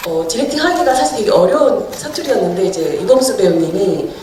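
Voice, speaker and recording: A woman's voice: medium pitch, expressive, brisk, warm tone. A woman film director from Cheongju answering audience questions at a festival screening, thoughtful and often laughing as she explains. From Cheongju, South Korea. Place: large cinema hall. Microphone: handheld mic heard from far in the hall. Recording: echoey hall audience recording, distant and noisy.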